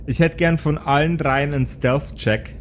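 A man's voice: on the high side, steady tone, normal speed, slight background noise. A man narrating an improvised tabletop game as game master.

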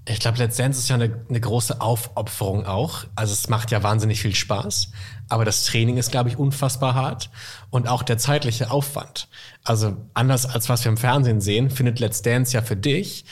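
A man's voice: medium pitch, fairly even intonation, moderate pace. A man hosting an interview, asking questions conversationally. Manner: conversational, gives short backchannels. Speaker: interview host.

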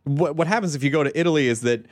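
A man's voice: a little high, rapid-fire, dry recording, clean studio sound. A man co-hosting a podcast, chatting casually in a joking, rambling way with many restarts. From United States. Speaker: podcast co-host. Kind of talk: casual chat.

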